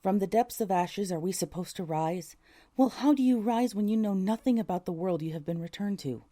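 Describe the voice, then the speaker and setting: A woman's voice: medium pitch, brisk, warm tone, slight background noise. A woman voice actor reading dramatic first-person story narration in takes.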